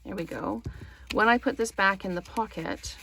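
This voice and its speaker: Gravelly-voiced woman thinking aloud while crafting. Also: mid-range voice, normal speed, pushed and bright, slight background noise, poor audio. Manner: apologetic, chatty, thinks aloud with many ums. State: slightly hoarse, losing her voice. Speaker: crafting channel host.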